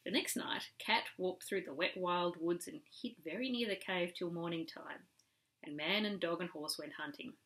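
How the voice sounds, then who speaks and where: A woman reading a children's story aloud as a storyteller, in an animated, emphatic manner. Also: fairly low pitch, moderate pace, low-quality recording. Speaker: storyteller reading to a young relative.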